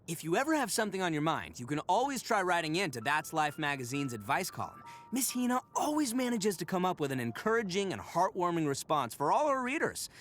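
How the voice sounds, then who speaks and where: An actor playing a brash, goofy young horned oni gang leader in a video game, boisterous, boastful and slangy, with theatrical swings. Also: male voice, sits high, fairly bright tone, full character voice. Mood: earnest, upbeat. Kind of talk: video game character voice acting.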